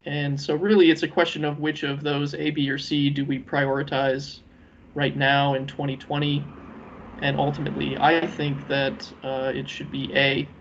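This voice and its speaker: Male team lead presenting on a lo-fi conference call; creaky, monotone, halting business delivery. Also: on the high side, slight background noise. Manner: measured, hesitant, halting delivery with many ums. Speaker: working group lead presenting a proposal. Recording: dull, lo-fi conference call audio.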